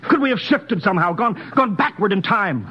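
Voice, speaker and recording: A stern spaceship captain in a vintage radio play. His voice is a little high, insistent and authoritative, struggling to stay logical. The old recording is muffled and noisy. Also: lively intonation, normal speed. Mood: baffled, insistent. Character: stern, skeptical male spaceship captain, rattled and commanding. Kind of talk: 1950s radio drama acting.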